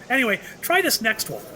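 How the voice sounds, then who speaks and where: A mysterious, ageless shopkeeper played by a man, with a high voice that moves about in animated swings. He is slyly wry and cryptic, teasing out his hints as in a radio play, over a clearly noisy recording. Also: moderate pace, heavily acted. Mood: amused, explaining. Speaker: actor in an audio play. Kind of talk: audio drama acting.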